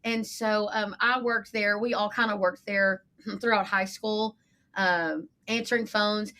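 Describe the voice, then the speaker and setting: A friendly, talkative woman in middle age with an East Texas twang, speaking at an everyday pitch that sits in the middle of the range. She tells her story in a relaxed, conversational way and often laughs between phrases. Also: a little slow. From East Texas, USA. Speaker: podcast guest, marketing agency leader. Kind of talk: casual conversational interview.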